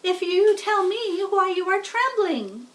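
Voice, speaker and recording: A tiny young spider girl, played by a woman reading a storybook, speaks in a very high, small voice. She is friendly and dainty, with slow, polite, sing-song phrasing. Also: sing-song, a bit bright, far from the mic, exaggerated voice. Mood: playful, curious.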